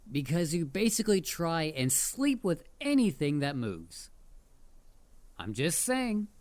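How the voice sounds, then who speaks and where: A performer voicing a mischievous, excitable headless dullahan in a roleplay audio drama, playful, theatrical and full of jokes. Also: mid-range voice.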